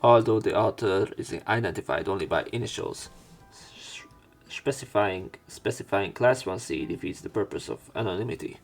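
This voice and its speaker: A man reading visual novel text aloud as a let's play narrator, breaking off into halting, wry casual asides. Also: fairly low pitch, creaky. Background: faint game music.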